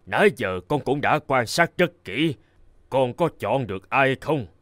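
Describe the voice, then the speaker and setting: Stately, animated cartoon king. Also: mid-range voice, unhurried, no room sound, clean studio sound. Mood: calm, authoritative. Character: aging bearded king, proud, fatherly, stately ruler. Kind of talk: cartoon fairy tale dubbing.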